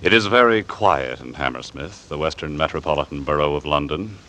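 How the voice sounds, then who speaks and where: A man with a very deep voice narrates a radio drama in a measured, descriptive manner. Clear music plays behind him.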